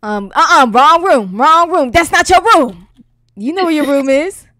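A woman's voice: sits high, expressive, normal speed, pushed and bright, no room sound, studio quality. A woman playing a flustered granddaughter and household peacemaker in an improvised online roleplay, casual and exasperated. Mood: bossy, hushed.

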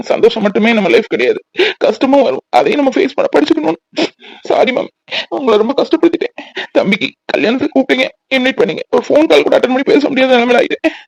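A man's voice: on the high side, no room sound, close to an everyday voice. A man playing an emotional, remorseful grown son in a family drama, earnest and pleading. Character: remorseful, emotional grown son and father, fallen on hard times.

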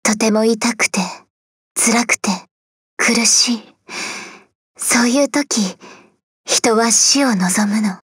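A woman voicing a gentle, sorrowful young princess of death in an anime-style game, soft, breathy, tender and solemn. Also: a little high, unhurried, pauses often, full character voice. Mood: serene, wistful.

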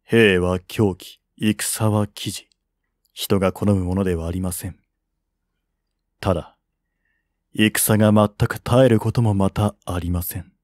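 Man voicing a low, grave, measured strategist. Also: steady tone, a little mellow, dry recording, clean studio sound. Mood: calm, grave. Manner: measured, solemn, formal. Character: calm, grave male strategist of a fallen kingdom. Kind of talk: historical audiobook dialogue.

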